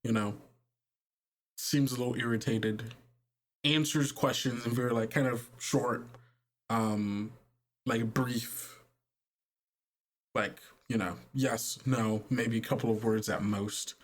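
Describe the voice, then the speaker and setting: A man running a tabletop roleplaying game as game master, narrating in a halting, conversational way with pauses. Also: medium pitch, steady tone.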